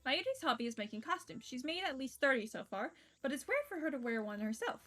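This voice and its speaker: A woman's voice: high voice, sing-song, fast talker, bright, forceful tone. A young woman streamer narrating a visual novel aloud, reading casually and breaking off into laughs and swearing.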